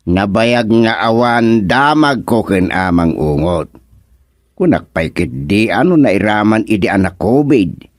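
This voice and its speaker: A man's voice: on the low side, lively intonation, unhurried, no room sound, clean studio sound. A man acting a concerned, inquisitive townsman in a radio comedy, drawing out questions in a northern Filipino accent.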